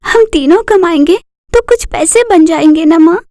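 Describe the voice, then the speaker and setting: Woman voicing a high-pitched, earnest young girl. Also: expressive, normal speed, warm tone, no echo, clean studio sound. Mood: earnest pleading. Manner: pleading, earnest. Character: poor young girl, selfless devoted elder sister, earnest. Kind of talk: animated moral story dialogue.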